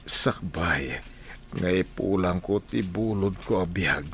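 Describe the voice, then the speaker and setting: An actor with an Ilocano accent playing a grave, solemn doctor in a radio drama. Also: male voice, sits low, slow, a bit bright, exaggerated voice. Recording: faint noisy ambience.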